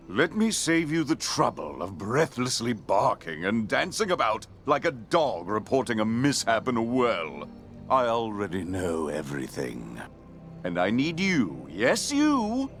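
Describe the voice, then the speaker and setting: Game role: haughty, sardonic male professor of magic, theatrical, slow, music underneath. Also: medium pitch, fairly bright tone. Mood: commanding. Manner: condescending, theatrical, deliberate. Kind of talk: scripted video game character acting.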